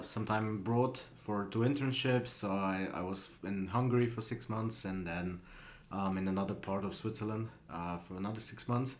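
A young man from Slovenia with a fairly low voice talks through his thoughts on a podcast. He hesitates and fills gaps as he goes, and the room around him echoes. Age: 20s. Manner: thoughtful, hesitant with many fillers. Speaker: guest student. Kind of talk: casual podcast interview.

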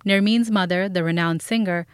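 A woman's voice: fairly low pitch, normal speed, smooth, dry recording. Woman narrating a documentary, dry sound. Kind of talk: scripted audio documentary narration.

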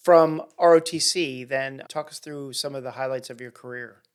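A man's voice: medium pitch, fairly even intonation, normal speed, clean tone. Earnest male podcast host. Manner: earnest, enthusiastic. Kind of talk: leadership podcast interview.

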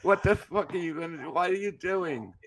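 A man's voice: high-pitched, brisk, a bit bright, a little hiss or hum, rough recording. A man co-hosting a comedy podcast, throwing in crass heckling remarks.

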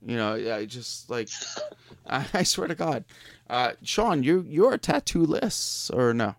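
A man's voice: mid-range voice, big pitch swings, takes it slowly, gravelly, slight background noise. A man co-hosting an interview, talking in a joking, teasing way and laughing easily. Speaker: podcast co-host. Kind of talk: casual interview chat.

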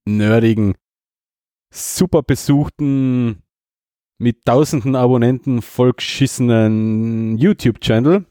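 A man's voice: medium pitch, a little slow, warm tone, no room sound, studio quality. A man with an Austrian accent hosting a podcast in casual, rambling, jokey chat. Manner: jokey, self-mocking. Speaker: podcast host.

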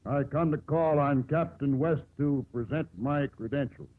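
An actor playing a brash, swaggering American sea captain with a cocky, teasing manner, in 1950s radio style. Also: male voice, on the high side, unhurried. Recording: noisy, tinny 1950s radio broadcast.

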